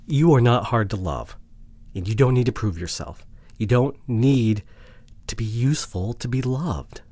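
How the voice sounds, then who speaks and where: A man playing a remorseful, devoted boyfriend in an intimate ASMR audio drama, with a breathy voice that often drops toward a whisper and an earnest, halting delivery. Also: medium pitch, mellow, no room sound, lightly acted. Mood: pleading, distressed. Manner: emotional, earnest, halting with sighs. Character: remorseful, devoted boyfriend pleading to repair relationship.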